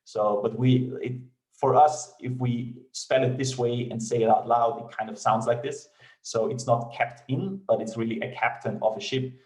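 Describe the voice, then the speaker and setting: A man's voice: medium pitch, fairly even intonation, normal speed, darkish tone, echoey room, poor audio. A man from Austria with an Austrian German accent and German as his first language, giving a remote technical conference talk with many fillers and restarts. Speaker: conference speaker.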